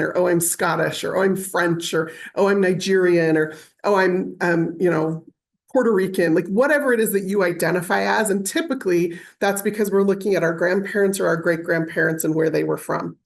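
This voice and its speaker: A woman presenting an online webinar, explaining things casually with everyday examples, fillers and asides. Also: lowish voice. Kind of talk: conversational online teaching.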